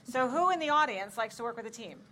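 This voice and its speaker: A woman's voice: a little high, expressive, quick pace, sharp tone. A woman in her forties moderating an outdoor panel, upbeat and enthusiastic as she speaks to the audience. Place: outdoor stage. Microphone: handheld mic.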